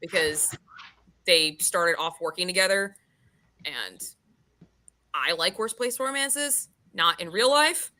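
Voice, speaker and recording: A woman leads a relaxed book club discussion in a slightly low voice with a bright, sharp edge. Her way of talking is frank and informal. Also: takes it slowly, pauses often.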